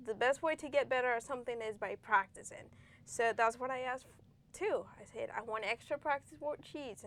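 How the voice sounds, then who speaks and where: Young woman, kinda high voice, earnest, trips over words a bit. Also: a bit bright. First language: Spanish. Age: young adult. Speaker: guest, former student. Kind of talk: interview.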